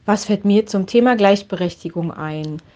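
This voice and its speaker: A woman's voice: mid-range voice, moderate pace, mellow, muffled recording. A woman giving a casual interview answer, hesitant and full of filler sounds.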